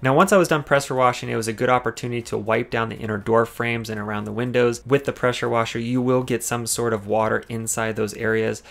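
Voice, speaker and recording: A man's voice: mid-range voice, fairly even intonation, fast, gravelly, few pauses, a bit bright, soft background music. A man narrating a car detailing video as voice-over, explaining each cleaning step and giving tips. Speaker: car detailing channel host.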